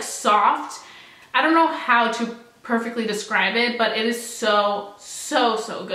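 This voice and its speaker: A woman's voice: fairly high pitch, pushed and bright, reverberant, poor audio. A young woman from the US chatting casually to her viewers in an upbeat vlog, sprinkling her talk with "like" and "so". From United States. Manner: chatty and upbeat, heavy use of "like" and "so". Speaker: beauty vlogger.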